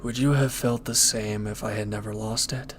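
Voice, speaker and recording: A man acts out a brooding reformed villain in an intimate audio drama. His voice sits fairly low and stays very flat, with halting, earnest delivery. Some noise sits behind the voice. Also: lightly acted. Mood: regretful, earnest. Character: brooding former villain, now a conflicted, hesitant lover. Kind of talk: intimate audio roleplay acting.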